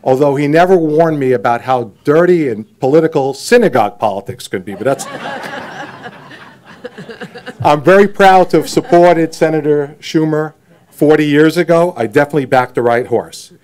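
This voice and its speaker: A man's voice: fairly high pitch, animated, moderate pace, darkish tone. A man giving a chatty, anecdotal speech at a podium, dropping in self-deprecating asides. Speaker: event emcee.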